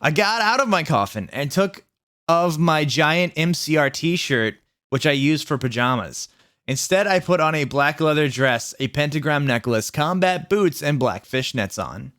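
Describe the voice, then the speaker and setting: A man's voice: fairly high pitch, expressive, measured pace, a bit bright. A man voicing a self-absorbed, melodramatic seventeen-year-old goth vampire witch girl narrating a fan fiction story. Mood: dramatic, gloomy.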